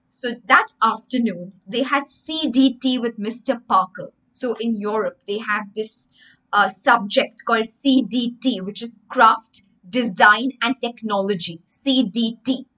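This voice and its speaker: Expressive Indian English woman storyteller. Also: fairly high pitch, low-quality recording. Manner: expressive, animated hand gestures, explains words as she goes. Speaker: storytelling teacher. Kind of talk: reading a story aloud and explaining it to children. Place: room with bookshelves.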